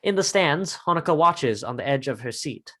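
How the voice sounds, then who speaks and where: A man's voice: a little high, animated, normal speed, a bit bright. A man narrating a script, reading out stage directions in short phrases.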